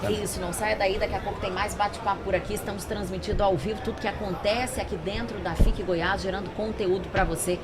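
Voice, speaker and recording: A woman's voice: mid-range voice, fairly even intonation, fast, few pauses. A Brazilian woman hosting a live interview chat at a trade fair. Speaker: host of a live event podcast. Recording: clear noise of a busy fair. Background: trade fair ambience noise.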